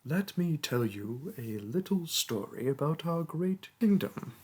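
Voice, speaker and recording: Man acting out a slow, husky, pompous mage king. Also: fairly high pitch, big pitch swings, a little mellow, full character voice. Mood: grand, storytelling. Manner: pompous, theatrical, condescending. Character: pompous, vain mage king, haughty toward his peasant subject. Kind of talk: theatrical roleplay monologue and storytelling.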